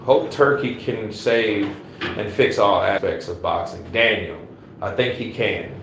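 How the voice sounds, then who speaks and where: A man's voice: fairly low pitch, a little slow, gravelly, fairly bright tone, slight background noise. Rambling American man chatting boxing live. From United States. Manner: loose, rambling, repeats himself for emphasis. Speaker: boxing commentary host reading live chat. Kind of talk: casual live-stream chat. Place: after leaving the gym.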